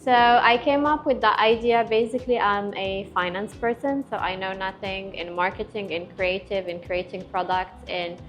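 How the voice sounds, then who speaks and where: A middle-aged woman from Morocco, a guest entrepreneur in a casual panel chat, telling stories in an animated way with emphatic repetition. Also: mid-range voice, normal speed, hardly any pauses, dull audio, faint music behind.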